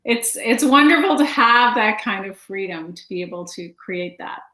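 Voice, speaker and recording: A Canadian woman hosting a casual interview, chatty and letting her sentences trail off softly. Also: medium pitch, lively intonation, moderate pace, roomy sound. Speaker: podcast host.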